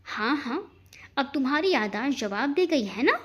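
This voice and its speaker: Woman narrator as storybook aunt, middle-aged, high voice, stern, sarcastic, scolding, sharp. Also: lively intonation, moderate pace. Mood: taunting.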